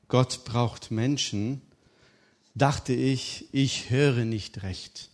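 Joking middle-aged preacher from Turkey. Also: male voice, medium pitch. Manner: humorous, lively storytelling. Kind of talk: interview and sermon.

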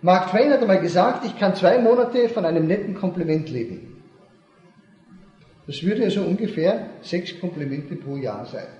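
A man's voice: sits high, expressive, normal speed, darkish tone. Man, seminar lecturer, repeats points and checks understanding, reverberant lecture hall. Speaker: seminar speaker. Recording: echoey hall, dull lo-fi sound.

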